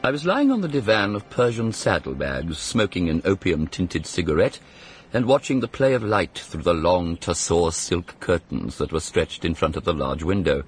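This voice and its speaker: A worldly, cynical English aristocrat in a radio drama, speaking in a low voice that rises and falls freely. His upper-class accent is languid and arch, and he delivers epigrams with theatrical relish, faint music about him. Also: big pitch swings, moderate pace, slightly rough, heavily acted. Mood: reflective. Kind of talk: radio drama acting and first-person narration.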